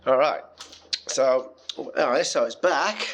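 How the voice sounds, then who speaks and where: A man's voice: mid-range voice, takes it slowly, fairly bright tone, a little hiss or hum. An Australian man giving a casual, chatty hands-on tech demonstration, thinking aloud as he handles his gear. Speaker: amateur radio hobbyist presenting a demonstration.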